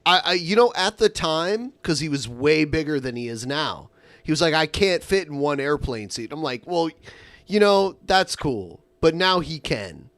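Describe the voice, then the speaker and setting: A man's voice: a little high, lively intonation, normal speed, a bit bright, no echo. A man hosting a casual podcast chat, curious and profane, laughing easily. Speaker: podcast host.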